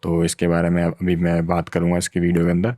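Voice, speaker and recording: A man's voice: deep voice, completely flat delivery, brisk, mellow, no room sound, studio quality. A man explaining technology in a casual, informal tutorial style, mixing in English technical terms. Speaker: tech tutorial host.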